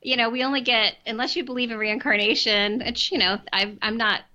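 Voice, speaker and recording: A woman talks as a podcast guest in a loose, rambling way, full of ums and restarts. Her voice is bright and forward, slightly worn as if she is losing it. The recording sounds dull and low-fidelity. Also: fairly even intonation, a little fast. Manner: chatty, many ums and restarts. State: losing her voice, clearing throat. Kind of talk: casual interview chat.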